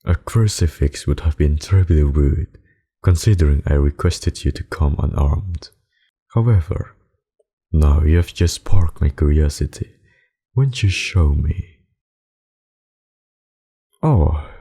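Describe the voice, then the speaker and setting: A man voicing a suave, possessive, menacing vampire in an ASMR roleplay, with formal, courtly, seductive speech and a gravelly, husky voice. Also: rumbling low, slow pace, long pauses, warm tone, heavily acted. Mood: courtly, insistent. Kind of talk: ASMR roleplay, dramatic monologue.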